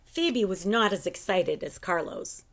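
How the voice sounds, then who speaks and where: A middle-aged woman reading a children's book aloud in an expressive, animated storytelling style at home. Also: on the low side, a little slow. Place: living room at home.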